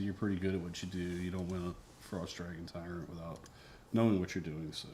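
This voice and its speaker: A man with a deep, low voice hosts a game stream in a relaxed, joking way. There is a faint hum in the background. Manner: laid-back, joking, encouraging. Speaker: streamer host. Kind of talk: casual stream commentary.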